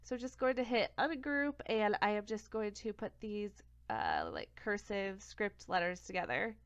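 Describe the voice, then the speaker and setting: A young woman with a cold-congested voice talks through a craft software tutorial step by step, sometimes catching and correcting her own slips. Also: medium pitch, very animated, moderate pace, clear, resonant tone, dry recording. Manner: step-by-step, self-correcting, plain and friendly. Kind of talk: screen-recorded software tutorial. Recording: dull, lo-fi home recording.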